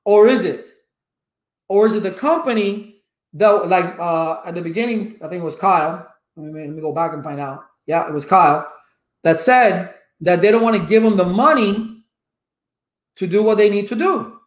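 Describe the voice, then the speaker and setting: A man's voice: high-pitched, normal speed, roomy sound. A man in his fifties, Cuban-born with Spanish as his first language, talking animatedly to viewers from a home office with a webcam. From Cuba. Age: 50s. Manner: animated, gestures a lot, rhetorical questions. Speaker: network engineering educator. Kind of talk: casual livestream talk to viewers. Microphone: webcam at desk.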